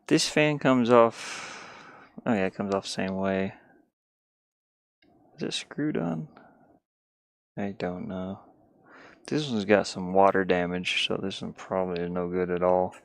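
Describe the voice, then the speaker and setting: A man's voice: low voice, measured pace, gravelly, long pauses, no room sound. Scattered, casual man streaming, dry close sound. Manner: scattered, self-deprecating. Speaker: live streamer. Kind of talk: casual rambling chat. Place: inside a small cluttered van.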